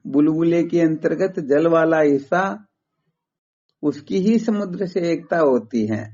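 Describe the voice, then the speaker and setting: A man's voice: a little high, measured pace, mellow. A man giving a didactic spiritual lesson over a phone call, explaining step by step and posing rhetorical questions. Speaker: Vedanta spiritual teacher. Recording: muffled lo-fi phone call recording.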